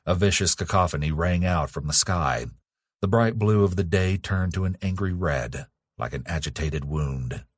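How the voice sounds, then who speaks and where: A man with a husky voice reading a dark fantasy horror audiobook in a solemn, dramatic, measured storytelling manner. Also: low voice, dry recording, clean studio sound. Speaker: author narrating own audiobook.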